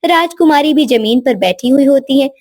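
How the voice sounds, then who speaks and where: High-pitched woman narrating a story. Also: normal speed, a little mellow, no room sound, clean studio sound.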